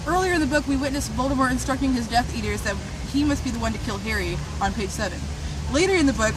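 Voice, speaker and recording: A woman with a high voice reads a scripted piece aloud as a dramatic performance. Her delivery is theatrical and emphatic, with a mock-serious air. Clear background noise, like traffic outdoors, runs behind her. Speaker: reader of a fan zine. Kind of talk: dramatic reading of a scripted mock-conspiracy essay.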